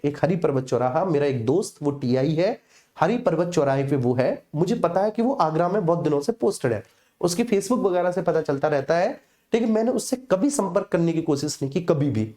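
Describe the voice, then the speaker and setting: A man's voice: fairly high pitch, warm tone. A man giving an informal exam lesson, explaining conversationally with frequent check-in tags. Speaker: teacher for police exam coaching.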